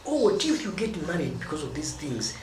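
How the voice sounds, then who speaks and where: Kenyan guy lecturing on marriage, lively up-and-down voice, roomy echo, noisy. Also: on the high side, far from the mic. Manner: emphatic, drawn-out stresses on key words. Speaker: marriage advice speaker. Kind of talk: teaching monologue.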